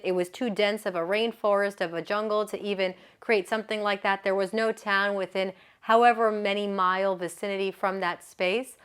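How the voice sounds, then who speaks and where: Woman yoga coach, mid-range voice, warm earnest chatter, laughs easily, keeps checking in with her listener. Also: medium pitch, clear, resonant tone. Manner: chatty and earnest, often asking "right?". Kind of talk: motivational talk to camera.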